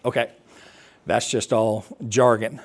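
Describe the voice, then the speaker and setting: American man telling a personal story, haltingly. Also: lowish voice, level tone. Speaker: speaker, air traffic controller recounting an experience. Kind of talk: personal storytelling talk to an assembly.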